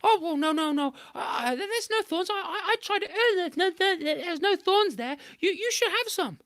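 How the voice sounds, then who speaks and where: A storyteller voicing a sly male trickster spider who coaxes and sweet-talks in an eager, stammering, squeaky voice. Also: animated, normal speed, a bit bright, no room sound, studio quality. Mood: wheedling.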